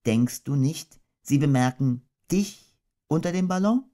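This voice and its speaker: A man's voice: on the high side, animated, measured pace, dark tone, pitched above the performer's own voice. A man putting on a young boy's voice in a storybook reading, giving plain, matter-of-fact replies. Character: kind, sensible young boy.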